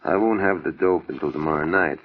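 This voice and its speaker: A skeptical, authoritative man of the crime world, with a very low voice and measured, doubting delivery. It is old radio drama, with dulled, muffled sound. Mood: cool, measured. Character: skeptical, authoritative male police captain and smooth racketeer. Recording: muffled vintage radio recording.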